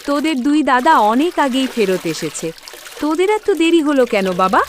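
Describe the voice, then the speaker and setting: A woman's voice: high voice, very animated, normal speed, slight background noise. A woman voicing a tender, forgiving, emotional queen mother in a storybook folktale. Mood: anxious fondness.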